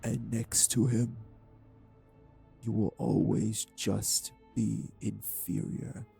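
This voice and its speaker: A man playing a cold, manipulative vampire villain in an audio roleplay, speaking with deliberate, condescending menace in a husky, gravelly voice. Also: lowish voice, little pitch movement, mellow, soft background music, exaggerated voice. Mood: condescending, cruel. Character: cold, manipulative male vampire taunting his captive. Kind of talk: dramatic audio roleplay acting.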